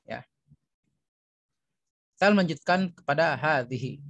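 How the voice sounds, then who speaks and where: A man's voice: on the high side, lively intonation, slow, creaky, a bit bright, dry recording, dull audio. A man with an Indonesian accent teaching a language lesson online, explaining and reading phrases aloud with repetition for learners. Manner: instructional, repeats phrases for learners.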